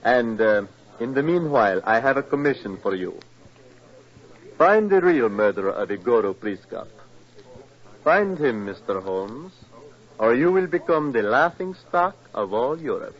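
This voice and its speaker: An actor playing an insistent, challenging bridegroom, speaking emphatically in a 1940s radio drama. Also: male voice, mid-range voice, very animated, measured pace. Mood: demanding. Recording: muffled 1940s radio recording.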